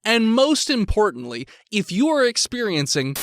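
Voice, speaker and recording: A man playing an excitable, melodramatic announcer in a parody emergency broadcast, theatrical and exaggerated. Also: high voice, normal speed, no echo, pitched above the performer's own voice. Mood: excited, urgent.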